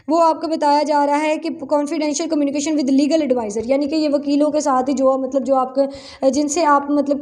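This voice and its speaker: A woman's voice: high-pitched, darkish tone. A Pakistani woman giving an explanatory law lecture, reading passages aloud and rephrasing them to clarify in an instructional manner.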